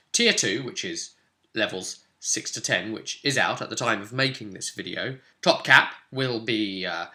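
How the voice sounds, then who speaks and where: A man's voice: lowish voice, sharp tone. A man presenting a guide in an informal, explanatory way, chatty and given to tossing in rhetorical questions. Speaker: presenter of a tabletop game guide.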